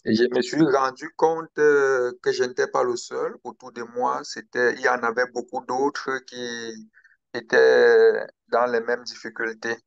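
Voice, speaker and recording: Slow, gravelly man from Cameroon. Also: mid-range voice, level tone, dry recording, highs cut off. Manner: slow, hesitant, with pauses and restarts. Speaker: guest. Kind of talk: interview, personal account.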